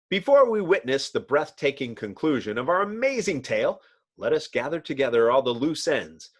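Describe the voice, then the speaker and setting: A man giving dramatic scripted narration of a comic book, theatrical and suspenseful. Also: on the high side.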